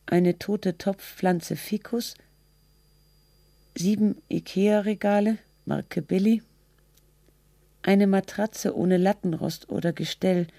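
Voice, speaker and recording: An actor playing a person who takes a dry, methodical inventory in a radio drama, itemizing deliberately. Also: medium pitch, fairly even intonation, creaky, mellow, dry recording. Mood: matter-of-fact. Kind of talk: scripted radio drama, listing items in fake documentary.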